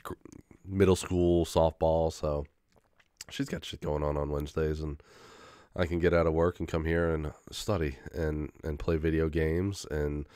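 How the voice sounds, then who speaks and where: A young man with a very low, smooth and resonant voice talks in a loose, rambling way, stopping and restarting often and swearing casually. He is getting over a head cold and coughs now and then. The sound is dry and close, with no room around it. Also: dark tone, no echo. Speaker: vlogger.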